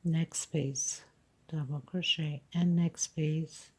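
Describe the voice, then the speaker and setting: A woman's voice: deep voice, steady tone, slow, darkish tone, slightly rough, poor audio. A woman teaching crochet step by step, counting stitches patiently, her voice congested. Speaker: crochet instructor. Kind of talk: step-by-step craft tutorial.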